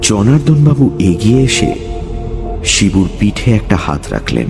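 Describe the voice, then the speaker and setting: A man narrating a scripted horror story dramatically, with suspenseful pauses. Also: on the low side, wildly swooping pitch, gravelly. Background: music.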